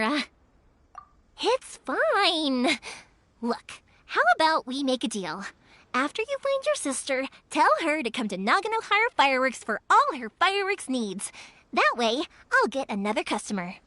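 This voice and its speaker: A high, bouncy young woman from an anime game, a fireworks maker with a big-sister streak. Her delivery swings up and down, earnest one moment and playfully teasing the next. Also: bright, forceful tone, no echo, heavily acted.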